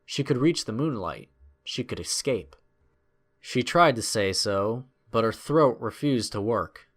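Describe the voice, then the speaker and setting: A man narrating a scripted adventure story in a measured, expressive, dramatic storytelling style. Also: mid-range voice.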